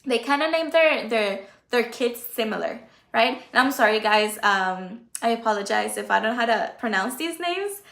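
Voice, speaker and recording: A woman whose first language is Spanish, informally teaching a Bible study in a conversational, halting way. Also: on the high side, animated, creaky, a bit bright, roomy sound. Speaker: Bible teacher and channel host. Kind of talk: informal Bible study talk, reading and explaining.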